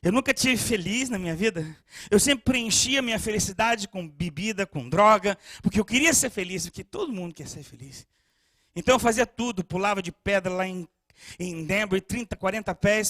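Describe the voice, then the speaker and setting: A man with a very high, bright voice preaches to his congregation, close and dry on the mic. He swings from quiet, personal storytelling to fervent shouting, often stammering over repeated words as he searches for the next phrase. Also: animated, moderate pace, dry recording. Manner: frequent stammered restarts. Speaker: pastor. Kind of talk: sermon.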